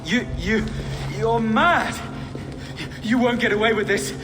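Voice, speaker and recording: Very high-voiced frightened priest. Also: male voice, takes it slowly, in a large hall, noisy background. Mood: shocked pleading. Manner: fearful, pleading, exclamatory. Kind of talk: video game dramatic acting.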